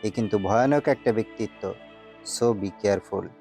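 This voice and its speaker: A man's voice: on the low side, a little slow, a little mellow, faint music behind. A man playing a stern, wary government minister who gives commanding orders in an audio story. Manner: commanding, cautioning.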